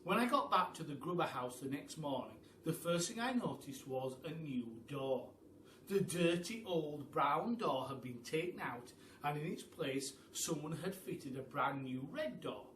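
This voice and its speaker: A man reading a children's story aloud as a teacher, theatrically, with dramatic pauses and emphasis. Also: a little high, expressive, a bit bright. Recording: roomy, distant mic with faint background noise.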